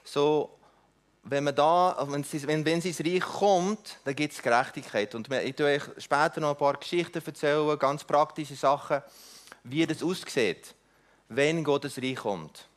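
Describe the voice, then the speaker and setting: A middle-aged man whose first language is not German gives an earnest church talk about mission, halting often with restarts and fillers. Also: a little high. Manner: earnest, inviting. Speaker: mission speaker.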